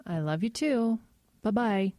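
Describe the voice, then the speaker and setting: A woman playing a composed, quietly sinister character in a horror audio drama, speaking calmly and reassuringly. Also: mid-range voice, unhurried, slight background noise, lightly acted.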